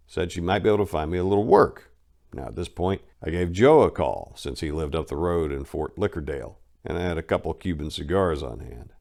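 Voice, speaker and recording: Deep-voiced man reading his memoir. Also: a little mellow. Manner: casual, wry storytelling with blunt, crude humor. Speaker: narrator reading a memoir aloud. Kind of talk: first-person dramatic reading.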